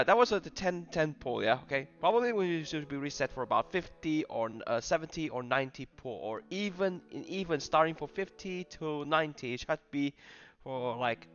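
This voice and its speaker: Stammering, animated man commentating on a game. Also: fairly high pitch, muffled recording. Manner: halting, stammering, repeats words. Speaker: gaming streamer. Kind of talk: casual live gameplay commentary.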